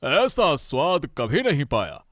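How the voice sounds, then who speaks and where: A man's voice: a little high, big pitch swings, takes it slowly, a bit bright, no room sound, studio quality, exaggerated voice. A man playing a kindly, hearty, approving older father in a cartoon family story. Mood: delighted. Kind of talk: Hindi cartoon moral-story dubbing.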